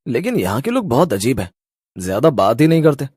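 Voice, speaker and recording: A man's voice: mid-range voice, very animated, a little fast, a little mellow, slightly rough, no echo, studio quality. A man playing an anxious, bewildered husband and father in a cartoon horror story, with exclamatory delivery. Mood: puzzled. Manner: exclamatory, worried, puzzled.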